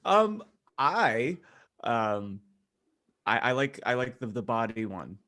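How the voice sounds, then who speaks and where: A man with a mid-range voice chats as a podcast co-host. His delivery is casual and jokey, like relaxed banter among regulars. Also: medium pitch, slow. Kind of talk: casual joking chat.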